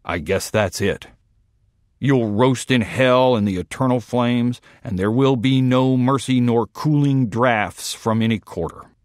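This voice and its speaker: A man reading the part of a plain-spoken small-town man, slow and aggrieved, in a dry close recording. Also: medium pitch, darkish tone, dry recording, lightly acted. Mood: bitter. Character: plain-spoken grieving small-town man whose dog was shot. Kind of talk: literary reading.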